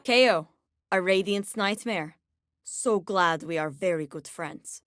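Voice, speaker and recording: An actress playing a brooding, sleepless agent who hunts nightmares in a video game, speaking in an ominous, measured, wry way. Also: female voice, fairly low pitch, soft background music. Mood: wry, knowing. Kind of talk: video game character voice lines.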